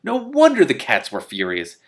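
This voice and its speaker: Man reading as a firm, brisk witch. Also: mid-range voice, huge pitch swings, husky, voice pushed up, heavily acted. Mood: indignant. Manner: firm, no-nonsense. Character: brisk, commanding, protective woman witch.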